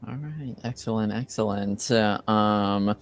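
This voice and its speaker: A man with a lowish voice acts as game master at a tabletop session. He chats casually with the players and takes his words very slowly.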